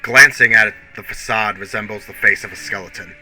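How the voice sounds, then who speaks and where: A man narrates a radio play in a lowish, very bright and tense voice. His delivery is grave and theatrical, leaning hard on key words, with music running beneath him. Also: a little fast, rough recording. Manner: theatrical, grave, emphatic storytelling. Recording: music bed under the voice.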